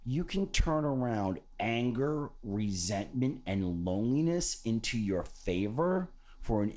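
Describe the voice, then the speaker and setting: A man's voice: fairly low pitch, darkish tone. A man hosting a podcast, talking earnestly and casually about self-love, repeating key phrases and swearing now and then. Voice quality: called a voice for radio by others. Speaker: podcast host. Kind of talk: motivational podcast talk.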